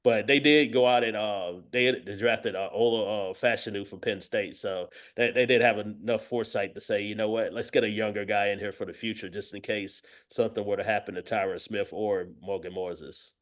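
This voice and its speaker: Low-voiced man talking football. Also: steady tone, brisk, few pauses. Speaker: guest football analyst. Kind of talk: casual sports talk with analysis.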